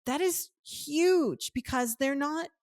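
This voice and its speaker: A woman's voice: high-pitched, slow, darkish tone, no room sound, clean studio sound. A woman with an American accent co-hosting a casual podcast conversation, speaking in an earnest, emphatic and reflective way. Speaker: podcast co-host.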